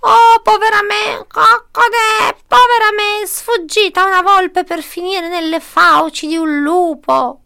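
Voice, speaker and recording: Frantic, high, clucking little hen. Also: female voice, fairly even intonation, takes it slowly, creaky, hardly any pauses, sharp tone, full character voice. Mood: distressed. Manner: wailing, clucking lament.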